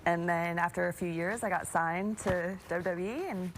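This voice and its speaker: A woman's voice: on the low side, normal speed, a little hiss or hum. Young woman wrestler, chill and sure of herself, tv interview. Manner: confident, upbeat, easy. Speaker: wrestler guest. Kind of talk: casual TV interview chat. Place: TV studio.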